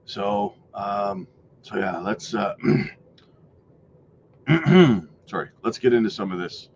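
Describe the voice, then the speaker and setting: Hoarse man hosting a crypto livestream. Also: fairly low pitch, animated, slow pace, a little mellow, highs cut off, a little hiss or hum. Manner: rambling, many fillers, reacts to chat. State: losing his voice. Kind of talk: casual livestream chat reading viewer comments.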